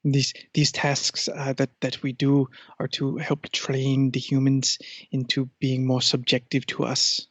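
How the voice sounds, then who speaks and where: A man voicing a haughty, imperious cat who expects to be served, pompous and deliberate, in a comedy podcast. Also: mid-range voice, completely flat delivery, dry recording, highs cut off, natural voice. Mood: smug, imperious. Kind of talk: improvised character comedy interview.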